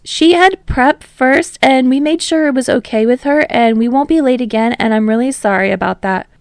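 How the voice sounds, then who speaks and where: A woman voicing an eager, apologetic teenage boy who babbles rushed, flustered explanations in a story reading. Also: high-pitched, dry recording, studio quality, voice pushed up.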